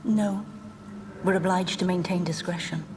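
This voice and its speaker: An actress playing an aloof, enigmatic spirit in animal form, speaking in a measured, wry, formal way in a video game. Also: female voice, fairly low pitch, fairly even intonation, noisy background, lightly acted. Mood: coy.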